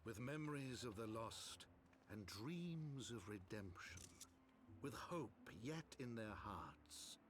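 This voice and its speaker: An actor playing a solemn storyteller, narrating an epic fantasy game in a grand, measured manner. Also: male voice, mid-range voice, lively intonation, slight background noise. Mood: solemn, elegiac. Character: solemn male storyteller chronicling fantasy heroes.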